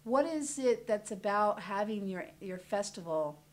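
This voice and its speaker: A woman's voice: mid-range voice, expressive, a little slow. A woman interviewing a guest, friendly, often restarting her questions.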